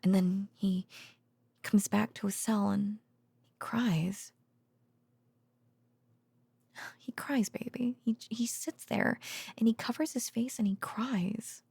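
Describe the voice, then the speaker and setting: Woman acting a tender, creaky-voiced researcher girlfriend at bedtime. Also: medium pitch, darkish tone, no echo, heavily acted. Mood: sad, pitying. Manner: hesitant, halting, sighing, drifting into whispers. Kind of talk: intimate ASMR girlfriend audio roleplay.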